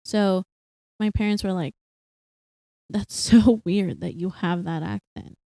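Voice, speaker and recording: A young woman co-hosting a casual chat podcast, animated and quick to laugh, full of fillers. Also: medium pitch, creaky, no room sound, clean studio sound. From Philippines, raised partly in Singapore. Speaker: podcast co-host.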